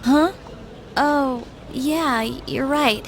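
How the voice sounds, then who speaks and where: Preoccupied high school girl, higher pitch, withdrawn, casual, evasive, video game drama. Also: very slow, vocal fry, a little hiss or hum, full character voice.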